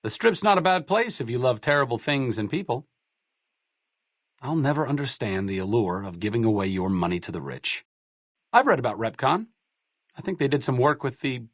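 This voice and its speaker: A man's voice: fairly low pitch, no echo, studio quality. An actor playing a wry, bookish, idealistic male doctor in a post-apocalyptic video game, articulate and dryly witty. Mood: dry sarcasm. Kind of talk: video game companion dialogue.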